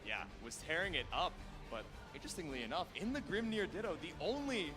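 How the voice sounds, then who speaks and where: A man's voice: on the high side, lively intonation, brisk, music in the background. A man giving live play-by-play and analysis as a commentator at an esports tournament. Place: event stage with audience, heard through a stream.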